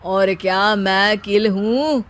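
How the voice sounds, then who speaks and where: A woman's voice: mid-range voice, slow, voice pushed down, lightly acted. A woman voicing a lazy, self-pitying young husband who declaims silly rhyming couplets in a comic cartoon story. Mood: theatrical, wounded. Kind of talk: comic animated Hindi moral story.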